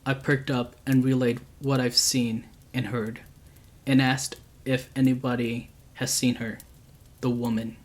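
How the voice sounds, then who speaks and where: Flat-voiced male story narrator. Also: mid-range voice, measured pace. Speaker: storyteller, channel host. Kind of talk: reading true scary stories aloud.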